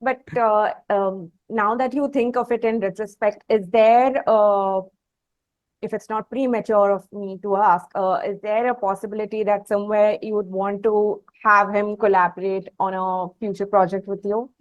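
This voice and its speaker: A woman's voice: medium pitch, fairly even intonation, moderate pace, dry recording, highs cut off. A woman with an Indian English accent, interviewing conversationally and phrasing her questions in a tentative, roundabout way.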